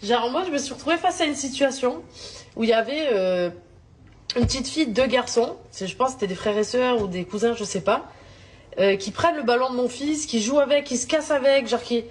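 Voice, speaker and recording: A woman's voice: on the high side, a little fast, slight background noise. A woman vlogger talking in an informal, explanatory way, chatting casually to her followers. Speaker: social media vlogger.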